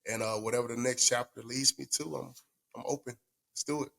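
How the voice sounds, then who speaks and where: A man's voice: medium pitch, steady tone, brisk, creaky, sharp tone. A young man, a retired football player chatting casually and slangily, dropping "man" often. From southern United States. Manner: slangy, laid-back, says "man" a lot. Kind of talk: casual chat.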